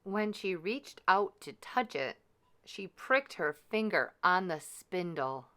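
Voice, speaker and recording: Female storybook narrator, unhurried and bright, with measured dramatic delivery for children. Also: lowish voice, lively intonation. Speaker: storyteller reading a picture book aloud.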